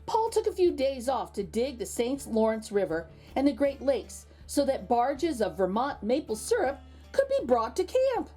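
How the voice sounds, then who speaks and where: Lady doing a kids storytime read aloud, highish voice that swoops around a lot, bit of music behind. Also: moderate pace. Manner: animated, with exclaimed asides to young listeners. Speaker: children's read-aloud host. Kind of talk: expressive picture book storytelling.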